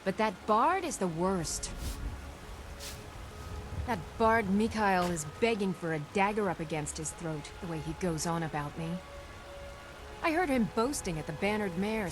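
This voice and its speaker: A woman's voice: medium pitch, natural voice. An actress playing a feisty, no-nonsense produce seller and mother in a fantasy game. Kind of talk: game character dialogue.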